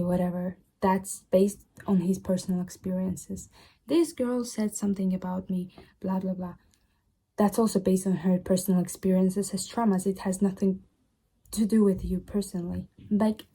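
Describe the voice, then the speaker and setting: A young woman with a soft-edged, mellow tone gives casual self-help advice as a vlogger. She is a who talks in chatty, girly slang. She leans on key phrases by repeating them emphatically. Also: medium pitch, breathy edge. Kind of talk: casual advice chat to viewers.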